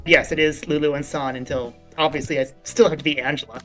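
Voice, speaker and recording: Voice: medium pitch, fairly even intonation, quick pace, a bit bright, soft background music. A co-narrator of a game read-along stream, chatting casually.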